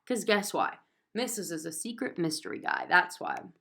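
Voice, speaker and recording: A woman's voice: fairly low pitch, wildly swooping pitch, moderate pace, creaky, fairly bright tone. Woman reading a children's storybook aloud. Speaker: reader of a children's book. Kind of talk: expressive storybook read-aloud in a child's first person.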